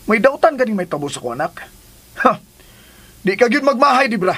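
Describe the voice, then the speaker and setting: A man's voice: sits high, very animated, brisk, a bit bright, husky, exaggerated voice. An actor playing a fed-up, protective expectant father in a radio drama, emphatic and exasperated, with a Cebuano accent. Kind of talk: Philippine radio drama acting.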